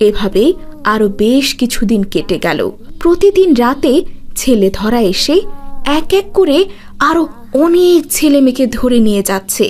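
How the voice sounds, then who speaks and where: A woman's voice: sits high, very animated, normal speed, darkish tone. A woman narrating a scripted cartoon story dramatically, phrase by phrase.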